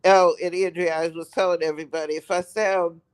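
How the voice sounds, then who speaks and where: A man with a raspy voice from a head cold, rambling on a live stream and repeating himself for emphasis. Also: high voice, little pitch movement, brisk. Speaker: livestream host. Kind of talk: casual live-stream commentary.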